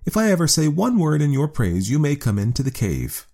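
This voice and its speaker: A man's voice: fairly high pitch, sing-song, a little fast, creaky, warm tone, pitched above the performer's own voice, full character voice. A man voicing a proud, clever cave woman in a storybook, in a lofty, commanding sing-song. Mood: haughty.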